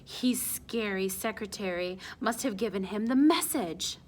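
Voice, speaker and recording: A woman's voice: mid-range voice, a little slow, a little hiss or hum, natural voice. A woman voicing a breezy, teasing, brash uncle in a storybook, with casual, punchy, slangy delivery.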